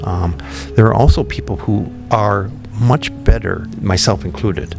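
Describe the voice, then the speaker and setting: A man speaking in a very low voice with a gravelly edge, talking through his ideas and pausing on ums as he goes. Clear music plays beneath him for the whole stretch. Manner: thoughtful, many ums and restarts. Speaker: podcast guest, company founder. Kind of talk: relaxed interview conversation.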